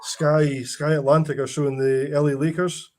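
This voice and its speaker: A man's voice: a little high, flat delivery, normal speed. A man hosting a casual chat, telling rambling anecdotes with many restarts. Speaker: host.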